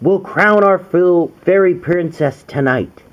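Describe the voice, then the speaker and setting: A man voicing gentle, gracious, excited female fairies from a storybook, in sing-song rhyme. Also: high voice, takes it slowly, lo-fi sound. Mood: proclaiming.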